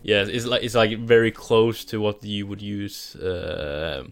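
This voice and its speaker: A man from Norway with a Norwegian accent, co-hosting a casual film review podcast, halting with restarts and laughs. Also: fairly low pitch, steady tone. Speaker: podcast co-host.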